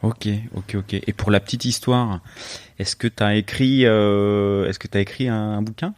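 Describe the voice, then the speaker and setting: Middle-aged guy hosting a podcast, low voice, stumbles and repeats himself, dry mic. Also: fairly even intonation, unhurried, darkish tone, clear, resonant tone, dry recording. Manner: hesitant questioning, repeats words. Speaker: podcast host. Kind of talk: casual podcast interview.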